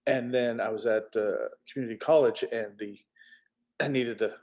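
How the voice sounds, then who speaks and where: A middle-aged man chatting casually as a guest, halting and rambling, with wry self-deprecation. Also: mid-range voice, fairly even intonation, darkish tone. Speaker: guest, author.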